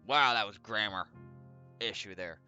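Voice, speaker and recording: Bright-voiced man reading a game aloud. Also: on the low side, unhurried, muffled recording. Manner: stumbles over read text and corrects himself. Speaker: let's play host. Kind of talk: reading a visual novel aloud with casual gaming commentary. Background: background music.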